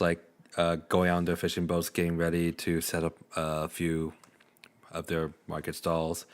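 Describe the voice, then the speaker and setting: Low-pitched man running a tabletop game. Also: smooth. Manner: halting, filler-heavy, easygoing. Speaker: game master. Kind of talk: casual improvised tabletop game narration.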